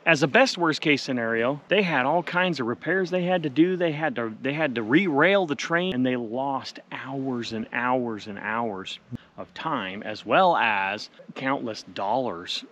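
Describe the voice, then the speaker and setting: A man's voice: medium pitch, measured pace, a bit bright, dull audio, a little hiss or hum. A man in his thirties chatting casually outdoors in the desert, explaining and joking with a friend. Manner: joking, explaining, mildly crude. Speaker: vlogger. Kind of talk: casual chat. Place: outdoors in the desert on a rail cart.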